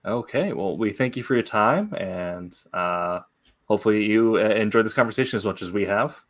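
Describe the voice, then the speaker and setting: A man hosting a podcast in a casual, halting way, with many pauses as he goes. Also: fairly low pitch, expressive, fairly bright tone. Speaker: podcast host.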